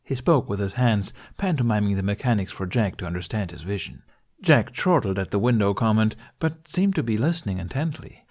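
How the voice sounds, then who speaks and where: Man, lower-pitched, audiobook-style story narration. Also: lively intonation, a little fast, darkish tone. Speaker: fan fiction narrator.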